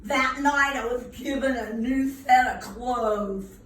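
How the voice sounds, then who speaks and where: A woman reading a picture book to young children in a theatrical, emphatic way, pausing to engage them with asides. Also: on the high side, fairly bright tone. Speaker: preschool owner reading at a children's storytime. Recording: roomy, distant mic, faint noise.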